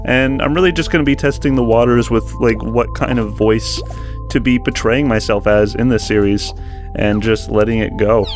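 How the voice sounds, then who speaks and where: A young man talks into a desk mic in a small bedroom setup, giving loose, self-deprecating commentary over old gameplay footage. His delivery is unscripted and candid, and soft music plays faintly underneath. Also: lowish voice. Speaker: gaming channel host. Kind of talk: post-recorded gameplay commentary.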